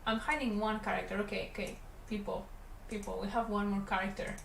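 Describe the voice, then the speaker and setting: A woman from Catalonia, speaking English with a Spanish Catalan accent and Catalan as her first language. She talks in a relaxed, rambling way to viewers while she works. The voice sits at a middle pitch and sounds roomy, with a faint hum behind her. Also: a little fast, vocal fry. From Catalonia, Spain. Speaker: animator streaming her work. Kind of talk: casual livestream chat while drawing. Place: animation studio room.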